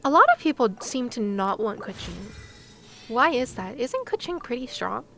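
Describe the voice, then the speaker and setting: A woman's voice: a little high, sing-song, moderate pace, creaky, a bit bright, soft background music. A woman chatting casually to viewers on a game livestream, with a playful, giggly, chatty manner. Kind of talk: casual livestream chat while gaming, talking to viewers.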